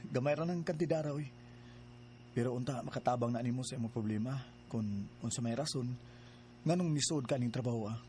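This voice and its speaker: A man's voice: medium pitch, fairly quick, pauses often, warm tone, a little hiss or hum, close to an everyday voice. An actor playing an earnest young man in a radio drama, courting with a hesitant, pleading manner.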